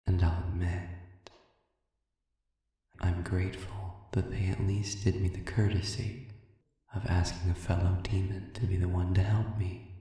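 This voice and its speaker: Deep gravelly demon guy, acted by a man, slow breathy murmur, manipulative, huge echoey reverb, audio rp. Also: warm tone, far from the mic. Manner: slow, deliberate, breathy murmur with long pauses. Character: sadistic manipulative male demon, smooth-talking and falsely humble. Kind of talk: intimate audio roleplay monologue. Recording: cavernous reverb, voice set back.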